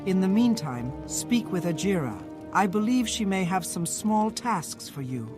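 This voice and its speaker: A woman's voice: mid-range voice, very animated, unhurried, over music. A woman voicing a brusque, scornful mages guild member in a fantasy video game. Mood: matter-of-fact. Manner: brusque, dismissive. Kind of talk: video game character dialogue.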